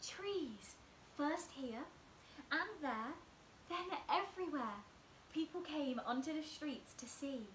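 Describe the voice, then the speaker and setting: A young woman in her twenties reading a picture book aloud as a bedtime story, slow and expressive, often dropping to near whispers, recorded at home on a camera in front of her. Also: high voice, reverberant, a little hiss or hum, poor audio. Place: small room at home, indoors.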